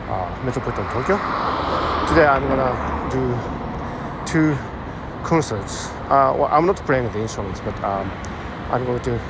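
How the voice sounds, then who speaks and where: A man with a Japanese accent, thinking aloud in a halting way, full of fillers, while walking along a busy city street. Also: medium pitch. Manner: thoughtful, halting, many fillers. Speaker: vlogger. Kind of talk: musing monologue while walking. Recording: loud street traffic behind.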